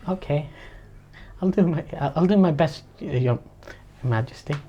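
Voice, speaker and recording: A man's voice: a little high, unhurried, dull audio, natural voice. A man playing a timid seven-year-old servant girl in a tabletop game, meek, hesitant and apologetic. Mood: meek, obedient. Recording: faint background noise.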